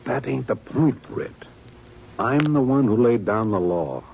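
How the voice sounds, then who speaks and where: An actor playing a weary, dutiful aging small-town sheriff with a plain folksy drawl in a 1950s radio drama. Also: male voice, mid-range voice, lively intonation, unhurried, creaky, narrow, tinny audio. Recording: old radio broadcast with faint hiss.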